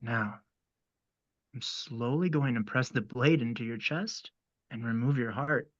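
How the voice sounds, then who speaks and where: A man playing a polite, sinister cult son in a horror script read, formal and calmly menacing. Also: medium pitch, measured pace, no room sound, highs cut off, natural voice. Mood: coldly menacing.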